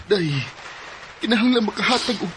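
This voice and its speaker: A man's voice: high voice, normal speed, a bit bright, a little hiss or hum. A man with a Filipino accent playing an anxious, insistent husband in a radio drama. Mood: pleading, reassuring. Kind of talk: Philippine radio drama acting.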